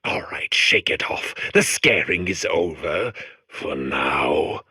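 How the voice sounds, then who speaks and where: A man voicing a grandiose horror-storytelling machine in a video game, raspy, pompous and melodramatic. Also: a bass voice, lively intonation, takes it slowly, no echo, studio quality, exaggerated voice. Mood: mock-menacing. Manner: melodramatic, pompous, swinging from hush to shriek. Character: theatrical horror-storytelling machine core, grandiose and self-important. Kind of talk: comedic video game horror-host acting.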